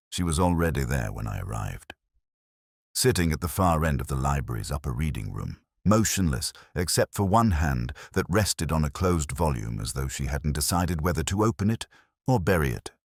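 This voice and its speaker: A man narrating a scripted detective story in the first person, with a measured, brooding, literary delivery. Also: a bass voice, husky, no echo, studio quality.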